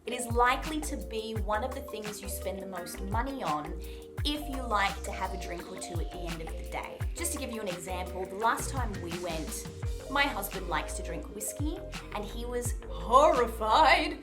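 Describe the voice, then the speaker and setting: A woman's voice: mid-range voice, expressive, normal speed, music in the background. A woman with an Australian accent hosting a video, chatting informally through tips in an upbeat, emphatic way. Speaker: video host, accent tutor. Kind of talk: chatty scripted travel tips to camera.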